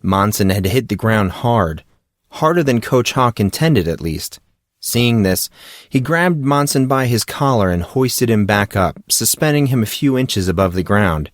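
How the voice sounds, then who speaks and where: A man narrating a fantasy audiobook in a steady storytelling delivery. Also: on the low side, measured pace, dry recording, studio quality. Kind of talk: audiobook reading.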